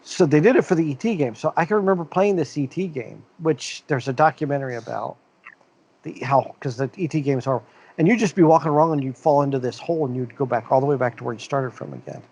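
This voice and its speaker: A man's voice: fairly high pitch, quick pace, darkish tone, slightly rough. A middle-aged man from the American Midwest, co-hosting a casual podcast chat. He rambles, restarts his sentences and leans on fillers as he reminisces. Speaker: podcast co-host.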